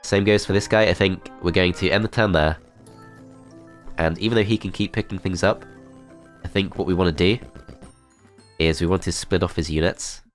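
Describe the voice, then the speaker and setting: A man with a cold and a blocked-up, nasal voice, calmly talking through his moves in a strategy game. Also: low-pitched, moderate pace, long pauses, faint music behind. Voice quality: nasal, congested. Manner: calm, methodical, thinking aloud. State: has a cold, blocked-up nose. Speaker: gameplay commentator. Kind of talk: strategy game let's play commentary.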